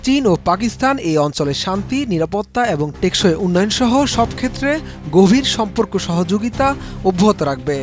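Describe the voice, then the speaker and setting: A high-pitched man with a Bangladeshi accent narrates a scripted news report. He speaks as a reporter, with clear background music playing under the voice. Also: expressive, brisk.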